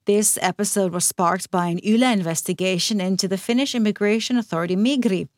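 A woman co-hosting a news podcast, reading scripted links clearly and evenly. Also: mid-range voice, fairly quick, dry recording, studio quality.

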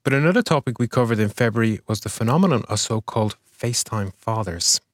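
Male podcast host, low voice, dry studio sound, measured scripted delivery. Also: expressive, no echo. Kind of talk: scripted news review.

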